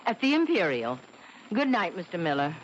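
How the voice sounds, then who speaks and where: A woman's voice: fairly low pitch, big pitch swings, moderate pace. An actress playing a gray-haired, plain housewife who is secretive, motherly and protective, in old-time radio drama style. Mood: guarded, polite. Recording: noisy, muffled old radio recording.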